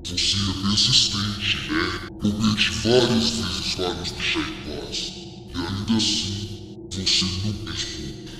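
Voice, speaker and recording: A man's voice: low voice, slow pace. A man voicing a cold, threatening otherworldly creature in an analog horror transmission, giving terse warnings. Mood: menacing. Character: menacing otherworldly creature warning Earth to stay away. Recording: cavernous echo with long reverb, loud music.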